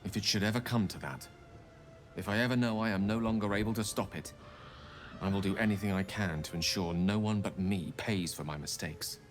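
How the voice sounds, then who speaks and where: An actor playing a scholarly, guilt-ridden wizard in a video game, speaking in an eloquent, measured, theatrical storytelling manner. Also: male voice, on the low side, in a large hall, natural voice. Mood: grave resolve. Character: wistful, guilt-ridden scholarly wizard man telling his past. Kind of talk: dramatic video game dialogue. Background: music.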